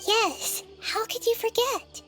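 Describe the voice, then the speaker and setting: Very high, gentle child goddess. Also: female voice, takes it slowly, soft background music, exaggerated voice. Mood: thoughtful. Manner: thoughtful, gently questioning. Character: small girlish nature deity, wise, gentle and curious. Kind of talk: anime-style game voice acting.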